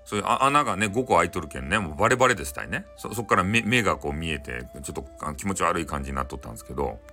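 A man with a Hakata dialect informally telling a bedtime folktale in a folksy, conversational way with halting phrases. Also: deep voice, animated, bright, forceful tone, soft background music. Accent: Hakata, Japan. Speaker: storyteller.

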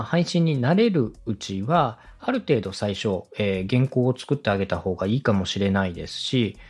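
Male podcast host, husky and very animated in intonation. Polite, encouraging interviewer and adviser. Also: medium pitch. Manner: courteous, encouraging. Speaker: podcast host, radio director. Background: background music.

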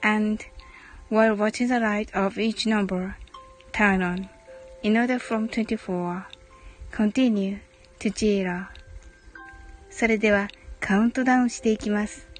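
Woman, slow-paced, over music. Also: medium pitch, steady tone, poor audio. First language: Japanese. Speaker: host of an English-learning mindfulness audio show. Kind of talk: bilingual guided meditation, then casual live chat with listeners.

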